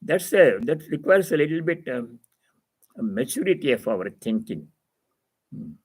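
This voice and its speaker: Man giving a measured spiritual discourse. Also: a little high, sing-song, darkish tone, slightly rough. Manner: didactic, repeats phrases for emphasis. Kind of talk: spiritual discourse, reading and explaining scripture.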